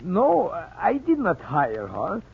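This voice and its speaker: Excitable restaurant owner, broken English, husky high voice, lamenting, old radio drama. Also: male voice, slow, heavily acted. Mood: resigned, wary. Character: distraught foreign-born Russian restaurant owner, excitable, fretful. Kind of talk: 1940s radio acting. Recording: muffled, worn 1940s radio recording.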